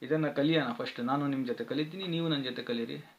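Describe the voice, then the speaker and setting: A man's voice: medium pitch, quick pace, dull audio. A man hosting a channel, casually and earnestly addressing his viewers as friends. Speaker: channel host. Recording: faint background noise.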